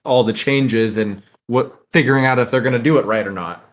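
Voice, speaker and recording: A man's voice: mid-range voice, brisk. Casual male podcast co-host.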